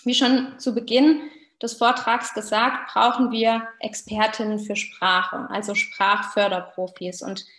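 A woman's voice: mid-range voice, little pitch movement, unhurried, hardly any pauses, a bit bright. A woman in her thirties giving an explanatory online lecture as a researcher, addressing her listeners directly. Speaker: university researcher presenting a talk. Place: small indoor room, video call. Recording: roomy, echoing webcam sound.

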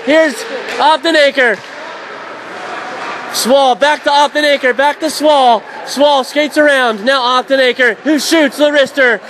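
High-voiced young man calling hockey. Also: slight background noise. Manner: rapid excited calls rising to shouts on goals. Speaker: play-by-play announcer. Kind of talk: radio hockey play-by-play. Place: arena press box.

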